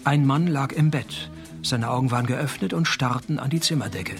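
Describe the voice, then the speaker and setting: A man playing a wisecracking, cocky private detective in a radio play, speaking with dry, deadpan irony. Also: medium pitch, moderate pace, faint music behind, close to an everyday voice. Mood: deadpan narrating. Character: wisecracking, cocky male private detective posing as night porter. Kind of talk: radio play dialogue and first-person detective narration.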